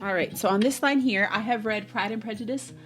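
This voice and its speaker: A woman in her thirties hosts like a school librarian, presenting to students in a casual, lightly planned way. Her pitch sits in a middle range for a woman. Music plays clearly in the recording. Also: fairly quick, a bit bright. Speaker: school librarian hosting a virtual library show.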